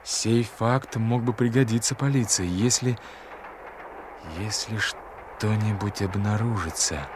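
An actor playing an anxious, self-doubting young gentleman in a detective audio play, stammering, muttering and repeating himself. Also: fairly low pitch, slow, background noise. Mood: worried musing. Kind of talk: audio drama acting.

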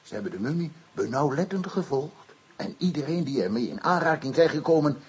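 An actor playing an earnest African interpreter in a radio play, speaking solemnly in halting, broken Dutch with a husky voice. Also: male voice, on the high side, lively intonation, darkish tone.

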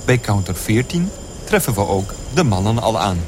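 An actor playing the formal, expressive narrator of a radio play. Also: male voice, lowish voice, normal speed, background noise. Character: composed male flight narrator, formal storyteller.

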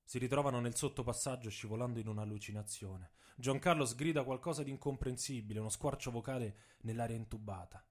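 A man's voice: medium pitch, fast talker, few pauses. A man reading a scripted narrative as a storyteller, with an intense, dramatic, literary delivery. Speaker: narrator and author reading own text.

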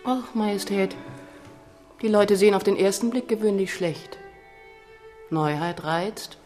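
An actress playing a proud, cool, self-assured young noblewoman in a radio drama, speaking in a measured, formal way. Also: medium pitch, darkish tone, soft background music, close to an everyday voice. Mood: cool, dignified. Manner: formal, measured, dignified courtly speech.

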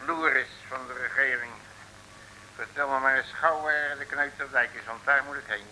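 An actor playing a cheeky, grumbling working-class Dutch detective in an old comic radio serial, blustering in slang. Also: male voice, mid-range voice, steady tone, normal speed, bright, forceful tone, rough recording. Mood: bossy, brash. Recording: old radio recording with faint noise.

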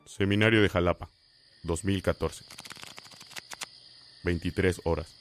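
Deep, formal scene announcer. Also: male voice, steady tone, measured pace, soft background music. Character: male announcer of scene places and times, formal.

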